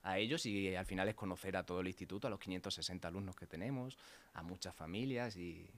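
A man's voice: low-pitched, fast. A man in his thirties from Extremadura, with an Extremaduran accent, answering interview questions as a school's head of studies in an earnest, hesitant way that repeats words. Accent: Extremaduran Spanish. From Spain, Extremadura.

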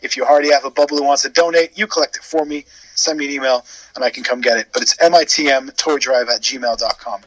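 A man's voice: medium pitch, fairly even intonation, fast, a bit bright, no echo, rough recording. A man, from Canada and Wales, chatting casually and telling stories with asides as a radio guest. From born in Wales, grew up in Canada. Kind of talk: casual chat and storytelling.

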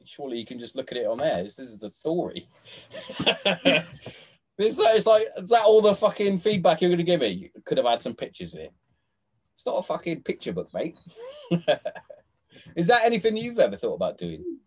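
A man's voice: on the high side, sing-song, moderate pace, pauses often. Sweary, chatty man. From England. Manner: sweary, laughing, trails off into restarts. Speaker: podcast host. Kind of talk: casual chat.

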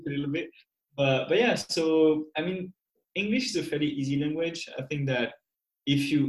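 Young french-accented guy, calm and thoughtful, mid-pitched, roomy echo. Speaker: podcast guest. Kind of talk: casual podcast interview.

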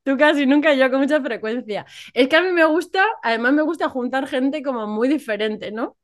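A woman's voice: high-pitched, a little fast. A woman from hosting a casual podcast, chatty and often checking for agreement with little tag questions. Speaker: podcast host.

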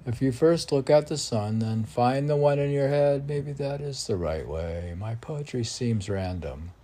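A man's voice: mid-range voice, expressive, measured pace, mellow. A man reading his own poems aloud as a poet, with declamatory, emphatic delivery.

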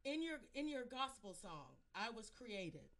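A woman hosting a casual live-stream interview, talking in an animated, playful, exclamatory way and addressing her listeners. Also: on the high side, a little slow. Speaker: host.

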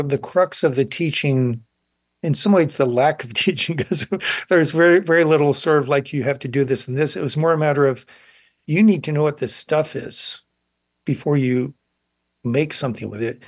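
A man in his sixties talking reflectively in an interview, thoughtful and halting as he searches for words. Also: a little high, a little mellow, dry recording. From grew up in South Korea as child of missionaries. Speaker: guest, painter.

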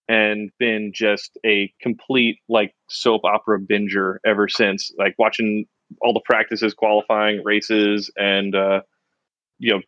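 Middle-aged American co-host, low voice, rambling and self-mocking, dry lo-fi recording. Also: male voice, a bit bright, no echo. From United States. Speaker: podcast co-host. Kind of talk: casual chat.

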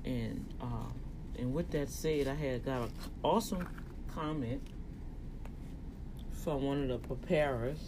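Louisiana-accented host, unhurried, casual teaching-style monologue. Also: medium pitch, background noise. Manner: talks slowly and deliberately on purpose, in a teaching tone. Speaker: podcast host and tax office manager.

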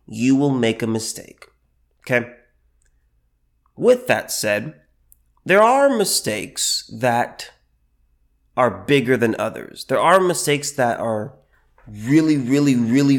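A mid-pitched man talks through a solo podcast, sounding a little under the weather from allergies. He stops and restarts often, repeating his phrases and filling gaps with ums and uhs. When he wants to drive a point home, he slows right down. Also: lively intonation. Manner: halting, repeats phrases, many fillers. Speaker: podcast host.

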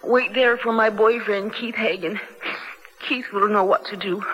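An actress playing a frightened but defiant captive in a radio drama, halting and emotional. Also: female voice, medium pitch, steady tone, dull audio, lightly acted. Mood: urgent. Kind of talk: 1970s radio drama acting.

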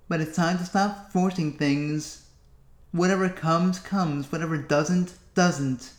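A man hosting a solo podcast, wandering through his thoughts and pausing often. His voice sits a little high for a man, and it echoes off the walls of the room he is recording in. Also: steady tone. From United States. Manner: musing, self-talking, with long pauses. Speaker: podcast host. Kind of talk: solo reflective podcast monologue.

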